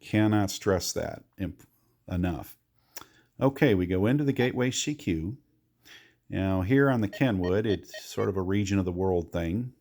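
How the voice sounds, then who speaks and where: A man's voice: low-pitched, animated, a little slow, a little mellow. A man giving a casual, folksy, hands-on tutorial as a radio hobbyist, explaining things conversationally.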